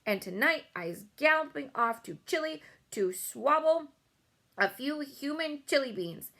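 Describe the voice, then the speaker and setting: Woman acting a huge man-eating giant, storybook villain, raised pitch, blustering, bullying, broken comic grammar. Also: expressive, measured pace, gravelly, sharp tone, full character voice. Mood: gloating. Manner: bellowing, insulting, mangled comic grammar.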